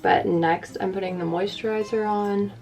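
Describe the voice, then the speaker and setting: A young woman with a raspy voice, rambling casually and self-deprecatingly to the camera as a lifestyle vlogger. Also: mid-range voice, steady tone, moderate pace, a little mellow, poor audio.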